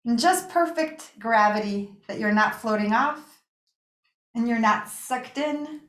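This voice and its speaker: A woman leading a guided meditation as a yoga nidra teacher, speaking in a gentle, soothing, unhurried way with pauses. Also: fairly high pitch. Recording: echoey room.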